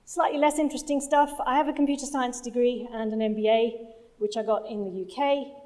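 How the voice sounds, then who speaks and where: Higher-voiced woman giving a talk. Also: steady tone, fairly quick, darkish tone. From London, UK. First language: Punjabi. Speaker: conference speaker, project manager. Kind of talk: conference presentation. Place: conference hall stage.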